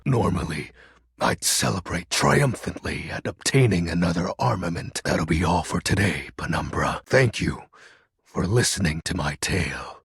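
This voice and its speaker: An actor playing a grandiose, brooding shadow lord in a video game, speaking in a pompous, archaic, melodramatic way with a gravelly, husky voice. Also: male voice, sits low, lively intonation, moderate pace, fairly bright tone, dry recording, clean studio sound, exaggerated voice. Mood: solemn. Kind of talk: theatrical video game character acting.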